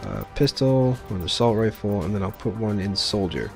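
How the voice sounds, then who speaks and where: Lowish-voiced man doing casual game commentary. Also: lively intonation, normal speed, vocal fry, soft background music. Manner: informal, explains game choices as he plays. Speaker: gaming video host. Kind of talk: casual let's play commentary.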